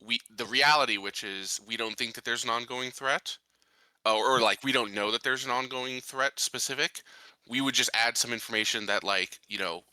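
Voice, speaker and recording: Very bright, sharp-voiced man. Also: mid-range voice, moderate pace, no room sound, low-quality recording. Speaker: player in a tabletop roleplaying game. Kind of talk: casual game discussion.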